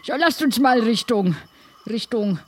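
A man talks casually in an improvised audio adventure, playing along as one of the group. Clear ambient noise runs behind the voice. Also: very high-pitched, animated, measured pace.

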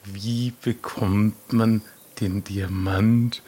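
A man plays a deliberate guardian of a mountain path in an improvised audio adventure. The voice is low and slightly husky. Words come slowly and drawn out, as if weighing each riddle. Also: fairly even intonation, mellow, heavily acted. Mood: calm, deliberate. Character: slow, measured riddle-loving stranger guarding a mountain path.

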